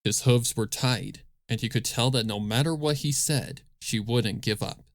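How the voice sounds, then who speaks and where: A man's voice: medium pitch, moderate pace, dry recording, clean studio sound. A man reading scripted fan fiction as the narrator of a story.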